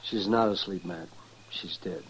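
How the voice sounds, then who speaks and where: An actor playing a concerned American town doctor of the frontier, muttering and expressive, in an old-time radio western. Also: male voice, low voice, a little slow. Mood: grave. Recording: noisy vintage radio recording.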